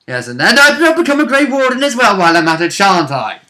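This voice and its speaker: A man banters casually as a player on a tabletop gaming show. His voice is highish and very bright, swinging animatedly as he jokes and exclaims with the odd mild swear. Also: very animated, a little fast. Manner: jokey, mildly sweary, exclamatory. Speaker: player and co-host on a role-play vidcast.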